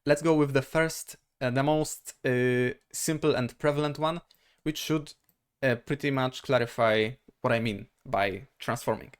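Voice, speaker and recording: A man from Poland, a native Polish speaker with a Polish accent, teaching grammar informally in a halting, methodical way, asking himself short questions as he explains. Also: medium pitch. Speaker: language teacher.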